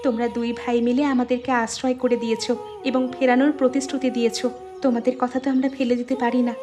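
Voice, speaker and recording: Lady doing young cartoon wife, highish voice, firm and measured, music behind her. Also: flat delivery, natural voice. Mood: relenting. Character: wronged young daughter-in-law, firm but forgiving. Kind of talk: Bengali cartoon voice acting.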